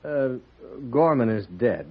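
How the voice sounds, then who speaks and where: Nervy rich businessman in 50s radio western, stammery n shifty, pitch all over, dullish noisy old audio. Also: big pitch swings, slow. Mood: flustered, evasive. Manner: hesitant, flustered, stammering. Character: evasive, nervous, well-off gambling businessman in a western. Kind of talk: 1950s radio western acting. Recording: dull old radio recording, faint noise.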